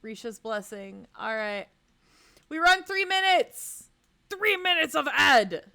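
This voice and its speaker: A woman hosting a casual game livestream in a playful, expressive way, sounding a little sniffly. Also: high voice, takes it slowly, gravelly. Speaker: game streamer and host. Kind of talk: casual livestream chat while playing a game.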